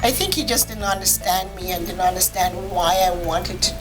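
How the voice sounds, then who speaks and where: A woman's voice: lowish voice, moderate pace. Matter-of-fact woman from Jamaica over music. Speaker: podcast guest, physician. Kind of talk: conversational personal storytelling.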